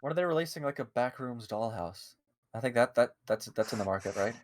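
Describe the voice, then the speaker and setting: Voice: medium pitch, expressive, fairly quick, no echo. A podcast co-host in casual chat, rambling and playful, often hesitating.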